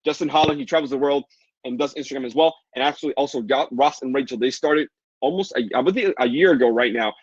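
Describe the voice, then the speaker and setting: Fast, husky man with a Dominican accent. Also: medium pitch, dry recording. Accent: Dominican Spanish. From Dominican Republic. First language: Spanish. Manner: pushy, hyped sales patter with many fillers. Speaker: online marketing coach. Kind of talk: live webinar sales pitch. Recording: dull lo-fi dry sound.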